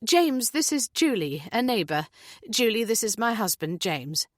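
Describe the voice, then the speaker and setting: A woman's voice: fairly high pitch, big pitch swings, a little slow, no echo, studio quality, pitched above the performer's own voice. A woman doing a polite, reserved wife.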